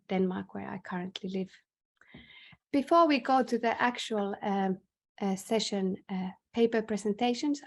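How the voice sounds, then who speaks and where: A woman from northern Finland with a Finnish accent, formally introducing a conference session on a video call in a measured, hesitant way. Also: mid-range voice, darkish tone. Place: indoors in a bright room, on a video call. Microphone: video call microphone.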